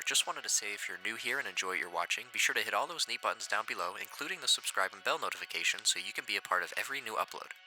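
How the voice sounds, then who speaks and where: A man with a fairly low voice presents scripted commentary as a video host. His delivery is conversational and very lively in pitch, moving expressively through each sentence. Music plays faintly behind him. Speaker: YouTube host, horror series reviewer.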